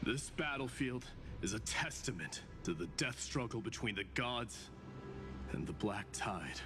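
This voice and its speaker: Man voicing a slow, solemn god of war. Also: medium pitch, expressive, music in the background, lightly acted. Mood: proud, resolute. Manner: grave, declamatory, deliberate. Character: solemn battle-worn male god of strife. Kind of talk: scripted video game drama.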